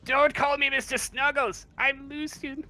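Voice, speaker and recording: A man's voice: very high-pitched, little pitch movement, a little slow, pushed and bright, pitched above the performer's own voice, full character voice. A man voicing a proud, solemn and easily offended living teddy bear in a tabletop game. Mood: indignant. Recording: noisy room recording.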